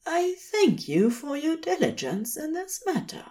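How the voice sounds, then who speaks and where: A woman's voice: high-pitched, wildly swooping pitch, measured pace, dark tone, pitched above the performer's own voice, heavily acted. A woman voicing a polite, dignified male angel in a fantasy story, with careful and formal phrasing. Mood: tired, quiet.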